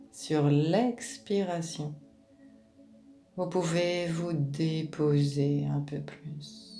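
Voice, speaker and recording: A woman guides a relaxation meditation in a low, mellow voice. She speaks very slowly and gently, leaving space between short, soothing instructions. Music plays behind her. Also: gravelly. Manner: calm, gentle, unhurried phrasing with pauses.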